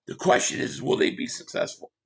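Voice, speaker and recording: A man hosting a sports talk livestream, hoarse and losing his voice, reading chat comments and giving rambling, opinionated takes. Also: brisk, a bit bright, slight background noise. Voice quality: hoarse, gravelly. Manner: rambling, opinionated, repeats himself.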